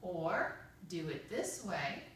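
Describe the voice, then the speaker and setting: A woman's voice: lowish voice, huge pitch swings, slow pace, fairly bright tone. A woman telling a children's story expressively, drawing out words and prompting young listeners with questions, recorded in an echoey, noisy room from a distance. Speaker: storyteller at a children's puppet show.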